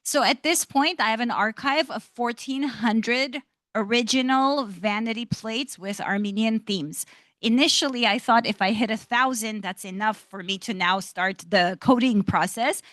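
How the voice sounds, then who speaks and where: A woman's voice: a little high, unhurried, fairly bright tone, no echo. Enthusiastic woman speaking on a panel. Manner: articulate, enthusiastic, encouraging. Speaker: institute director, panelist. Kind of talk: panel discussion answer. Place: lecture hall panel event.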